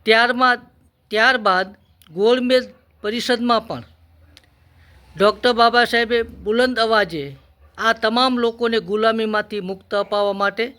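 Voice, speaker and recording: Bright, high-pitched man, emphatic orator. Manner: emphatic, deliberate, in short measured phrases. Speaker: speaker for a Buddhist vihara. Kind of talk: formal public address, historical oratory.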